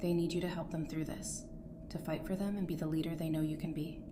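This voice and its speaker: A woman playing a steadfast, compassionate apocalypse survivor in an audio drama, speaking in an earnest, measured, encouraging way. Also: low voice, steady tone, mellow, close to an everyday voice. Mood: gentle, earnest. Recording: clearly noisy, ambient background.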